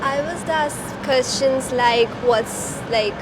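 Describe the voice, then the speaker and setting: A woman's voice: high voice, takes it slowly. Hesitant woman near passing cars. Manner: hesitant, many fillers. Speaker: interviewee. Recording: outdoor car noise.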